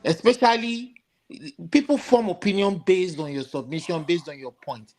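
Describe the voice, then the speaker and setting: Insistent man with lively pitch, faint noise. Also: normal speed. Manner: insistent, repeats words to hold the floor. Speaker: panel participant. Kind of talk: online panel discussion.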